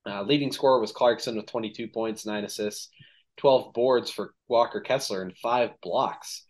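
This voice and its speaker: Low-voiced man hosting a sports podcast. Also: normal speed. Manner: relaxed, filler-heavy recap of game stats. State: slightly losing his voice. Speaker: podcast host. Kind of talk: casual sports podcast chat.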